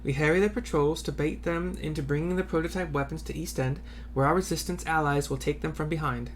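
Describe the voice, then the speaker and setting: High, formal military officer, as a man reads him. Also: steady tone, slight background noise, natural voice. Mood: resolved. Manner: formal, measured, stumbling over reading. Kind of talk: amateur read-aloud of game dialogue.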